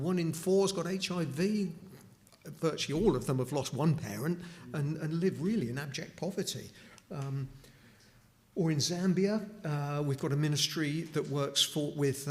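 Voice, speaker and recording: A middle-aged man from Newcastle, giving an informal charity talk to a church congregation with many restarts and asides. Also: medium pitch. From Newcastle upon Tyne, England. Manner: conversational, with frequent restarts and asides. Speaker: charity speaker at a chapel.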